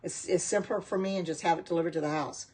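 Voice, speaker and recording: A woman's voice: on the low side, fairly even intonation, quick pace, a little mellow, breathy edge. A middle-aged woman from the United States, chatting casually in her home kitchen on a livestream, rambling and answering viewers' comments. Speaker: home cook and vegan livestreamer.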